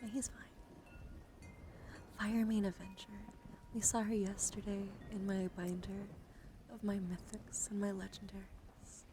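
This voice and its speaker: A woman streamer speaking softly and playfully in an ASMR style, close to the microphone, her breathy voice often dropping into a whisper. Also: medium pitch, flat delivery, unhurried, long pauses. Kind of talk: soft-spoken ASMR card showcase. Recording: clear background noise.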